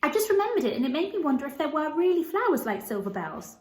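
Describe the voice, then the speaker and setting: An earnest little storybook girl, played by a woman, eager and a bit anxious as she pleads. Her voice is lifted high and sits in an echoey room. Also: sing-song, fast talker, darkish tone.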